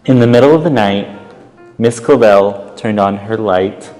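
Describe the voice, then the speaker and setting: Low-voiced man reading a storybook, unhurried. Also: long reverb tail, soft background music, poor audio. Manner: expressive, pausing, commenting on the pictures. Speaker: volunteer storytime reader. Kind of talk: read-aloud children's picture book narration with casual asides.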